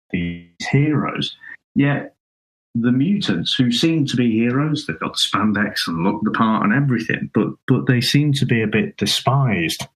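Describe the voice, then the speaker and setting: A man's voice: fairly low pitch, fairly bright tone, breathy edge, rough recording. A man co-hosting a casual film review podcast, talking in a rambling way with frequent restarts and chuckles. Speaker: podcast co-host.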